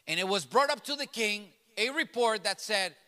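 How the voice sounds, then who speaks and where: A man's voice: high voice, animated, normal speed, sharp tone. A middle-aged man whose native language is Spanish, preaching a sermon with emphatic, repeated phrases that build to passionate peaks. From grew up in New York City. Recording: long reverb of a large hall.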